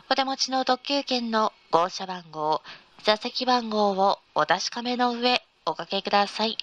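A woman's voice: medium pitch, bright, forceful tone, no room sound. A woman reading a scripted in-car train announcement in a formal, polite manner. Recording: noisy train ambience recording.

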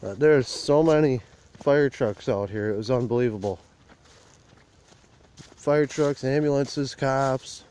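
A man's voice: medium pitch, darkish tone, slight background noise. A man vlogging on a phone as he walks a burnt field outdoors, talking in a plain, rambling rural way. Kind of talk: casual walk-and-talk vlog. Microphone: handheld phone camera while walking.

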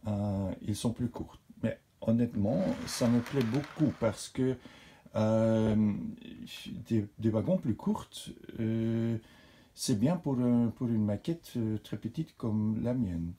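A man for whom French is not his first language, hosting a model railway channel and talking in a casual, halting way with many fillers. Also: fairly low pitch, dark tone. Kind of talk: casual hobby show-and-tell. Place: indoors beside a model railway layout.

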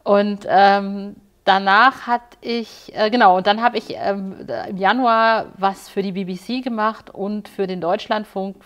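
A woman's voice: medium pitch, measured pace, few pauses. Woman in her 40s chatting as a guest, tells stories and acts out the dialogue. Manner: animated storyteller, acts out quoted dialogue. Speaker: interview guest, podcast presenter and radio journalist. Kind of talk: casual anecdotal chat. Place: small studio set, indoors.

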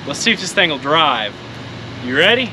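A man, narrating a car vlog outdoors in a laid-back, excited way and laughing often. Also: fairly high pitch, moderate pace. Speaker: car restoration vlogger. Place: outdoors in an open car on a road. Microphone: camera mounted on the car. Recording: loud engine noise outdoors. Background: engine noise.

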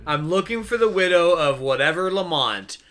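A young man with a slightly high, bright voice recaps a film for his friends. He jokes, swears and retells scenes as he goes. It is relaxed podcast banter. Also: normal speed. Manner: profane, joking, recapping scenes. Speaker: podcast co-host. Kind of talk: casual chat.

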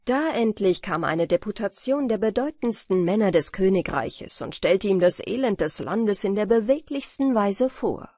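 A woman reading a fairy-tale audiobook as narrator, in an expressive storytelling style. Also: mid-range voice, moderate pace, few pauses, dry recording, studio quality.

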